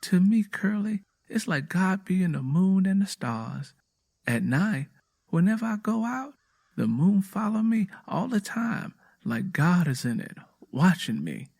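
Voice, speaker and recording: A man's voice: sits high, sing-song, a little slow, vocal fry, darkish tone, husky, pitched above the performer's own voice, full character voice. A man voicing an earnest, anxious, devout young wife with an American Southern accent and a pleading rural way of talking, in a narrated story. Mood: earnest, reverent.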